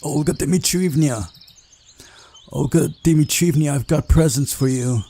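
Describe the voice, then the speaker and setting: Man playing a lazy, reluctant young guy, mellow. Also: a little high, unhurried, pitched above the performer's own voice. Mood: calling, resigned.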